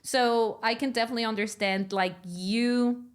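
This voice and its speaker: A Spanish-speaking woman from Mexico with a Mexican accent, hosting a podcast interview in English in a lively, casual way, laughing often and restarting sentences. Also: on the high side, moderate pace, clean tone. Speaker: podcast host.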